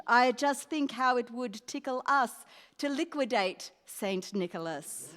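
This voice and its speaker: A woman playing a proud, seductive poisoner from Hades, scheming deliberately in rhyme in a radio play. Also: fairly high pitch, a bit bright, clean tone. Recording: echoey hall, live stage.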